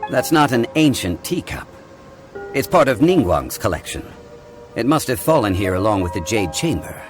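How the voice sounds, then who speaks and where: A learned, courteous video game gentleman with a fairly low voice. He explains things formally and calmly, yet with lively intonation, over clear background music. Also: normal speed. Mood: composed, scholarly.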